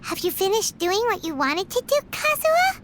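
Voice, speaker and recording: A woman voicing a tiny, cheerful, childlike floating fairy companion in a game, with a squeaky voice. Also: sing-song, normal speed, a bit bright, slight background noise, exaggerated voice. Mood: concerned. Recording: music playing behind the voice.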